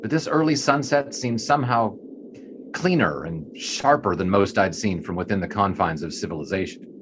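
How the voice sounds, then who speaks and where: Actor as a low-voiced, reflective 1920s sports writer. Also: male voice, expressive, normal speed, noisy background, natural voice. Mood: wistful narration. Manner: wordy, literary, reflective. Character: inquisitive 1920s male sports writer, reflective, literary narrator. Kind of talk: audio drama narration and interviewing.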